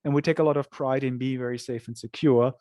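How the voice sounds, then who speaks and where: A man's voice: mid-range voice, steady tone, fast, warm tone, no room sound. Man explaining in dry, close sound. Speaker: software company representative answering questions. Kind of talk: webinar interview, explanatory product talk.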